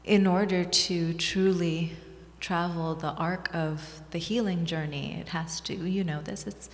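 A woman's voice: fairly low pitch, steady tone. Reflective woman author in a reverberant hall. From Bangladesh. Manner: reflective, measured, earnest. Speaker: author and guest. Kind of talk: interview. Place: small community gathering room.

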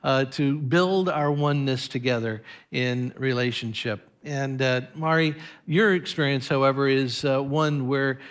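Hesitant church pastor full of uhs. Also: male voice, medium pitch, a little slow, few pauses, clean tone. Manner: hesitant, many "uh" fillers. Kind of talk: church interview on stage.

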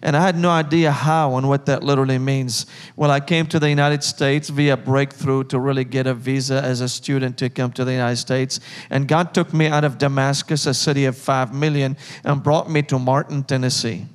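A man's voice: mid-range voice, steady tone, moderate pace, few pauses. A middle-aged man from Syria, giving an earnest, fervent personal testimony as a guest speaker in a church. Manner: earnest, building to fervent emphasis. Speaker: ministry leader and guest speaker. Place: church sanctuary. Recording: reverberant church hall.

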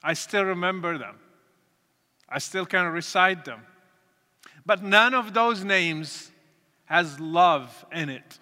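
Man, high bright tone, measured preaching testimony. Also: expressive. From Morocco. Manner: earnest, deliberate, with pauses and restarts. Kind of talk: testimony talk to an audience. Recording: reverberant hall.

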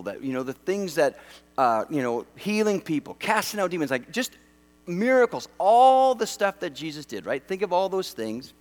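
Middle-aged male preacher from Western Canada, conversational and animated, with a slightly raised pitch, recorded in a big echoing hall. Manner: animated, conversational, self-deprecating humor. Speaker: pastor. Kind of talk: sermon. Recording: long hall reverb.

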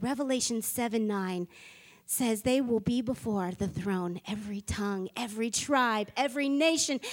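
Woman, church speaker, high bright voice, fervent, climbs to rousing calls. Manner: fervent, builds to rousing declarations. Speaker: ministry school leader. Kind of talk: church mission appeal.

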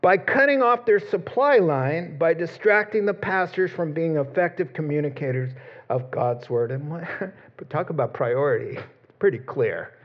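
Joking pastor preaching on a dull recording. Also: male voice, a little high, expressive, moderate pace. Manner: conversational, joking, acts out quoted voices. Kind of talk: sermon.

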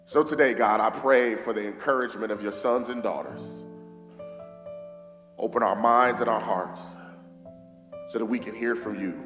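Hoarse man, pastor, fervent sermon delivery, long reverb, faint guitar underneath. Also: lowish voice. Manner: fervent preaching, prayer in a hushed voice. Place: church hall. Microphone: stage microphone. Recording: echoey hall with faint guitar music.

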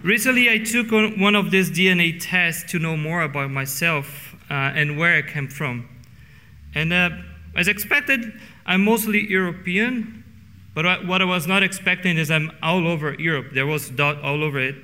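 A middle-aged man from Brazil with a Brazilian Portuguese accent, preaching a sermon earnestly and slowing down for emphasis. He speaks English as a second language. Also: fairly high pitch, sharp tone, smooth, big-room sound. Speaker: pastor. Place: church sanctuary.

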